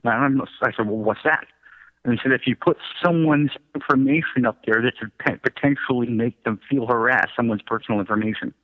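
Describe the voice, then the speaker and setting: A middle-aged man telling his own story as a radio interview guest, in a halting, self-correcting way. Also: mid-range voice. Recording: thin phone-like line.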